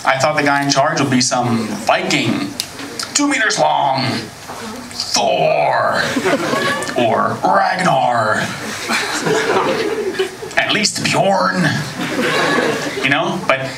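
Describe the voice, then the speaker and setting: An American man in his thirties performing a stand-up comedy set on a club stage, with a dry, deadpan delivery. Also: mid-range voice, drawn-out words, creaky, long pauses, very noisy. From United States. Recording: echoey club recording from far off. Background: audience laughter.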